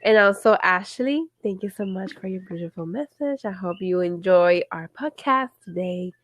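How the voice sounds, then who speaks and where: A woman's voice: mid-range voice, animated, moderate pace, no echo. A young woman from Puerto Rico co-hosting a casual podcast, playful and swearing, slipping into Spanish, sounding congested from allergies. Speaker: podcast co-host. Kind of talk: casual chat.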